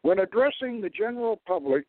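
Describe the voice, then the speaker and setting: A man hosting a radio talk show, lecturing in a deliberate, halting way. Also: high-pitched, lively intonation, dry recording. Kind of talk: talk radio lecture, partly read aloud. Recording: tinny, phone-like radio line.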